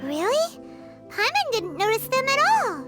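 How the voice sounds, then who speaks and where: Squeaky, excitable little fairy companion. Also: female voice, measured pace, music in the background, exaggerated voice. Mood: puzzled, indignant. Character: tiny excitable childlike fairy companion, cheeky. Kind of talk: video game voice acting.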